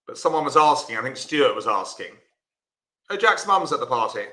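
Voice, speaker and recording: A man teaching a live online lesson in a chatty way, greeting viewers as he explains. His voice is bright and forward. The room adds a clear echo. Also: mid-range voice, very animated, brisk. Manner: chatty, talks to viewers by name while explaining. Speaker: bridge teacher.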